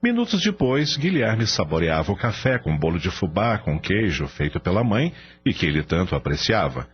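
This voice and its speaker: A man narrating a radio drama in a measured, expressive storytelling style. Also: low voice, husky. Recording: dull lo-fi audio with music behind.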